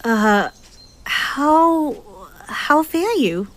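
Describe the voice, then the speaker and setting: A woman's voice: sits high, lively intonation, drawn-out words, fairly bright tone, full character voice, poor audio. A woman playing a worried young witch sister in a period audio drama, with hesitant, old-fashioned phrasing.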